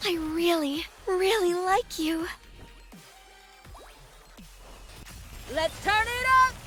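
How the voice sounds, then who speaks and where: A woman's voice: very high-pitched, unhurried, pushed and bright, exaggerated voice. A woman voicing a spirited, cocky young soldier in an anime video game, exclaiming boastfully. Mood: excited. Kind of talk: anime game battle voice lines. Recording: game audio under music and gunfire. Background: game music and gunfire.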